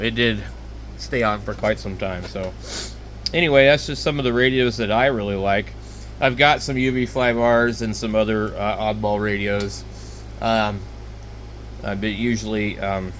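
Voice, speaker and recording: A man giving casual, rambling, unscripted product talk as a video host, with a hesitant, halting delivery. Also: fairly low pitch, a bit bright, slight background noise. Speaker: hobbyist video host reviewing handheld radios.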